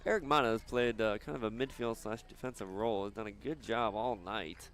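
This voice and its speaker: A man doing live soccer commentary outdoors, chatting casually and haltingly between plays. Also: on the low side, fairly bright tone, a little hiss or hum. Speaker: sports play-by-play announcer.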